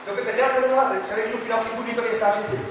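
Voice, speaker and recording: Animated Brazilian teacher in an echoey hall. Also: male voice, extremely high pitch, racing. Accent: São Paulo state Brazilian Portuguese. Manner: lively, theatrical, addresses students directly. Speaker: literature teacher. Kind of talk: animated exam-prep lecture. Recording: echoey hall, far from mic, noisy.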